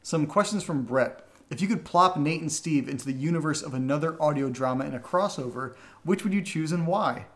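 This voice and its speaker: A man's voice: on the high side, brisk. A man co-hosting a podcast, reading out listener questions and chatting casually with playful, wry teasing. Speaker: audio drama podcast co-host.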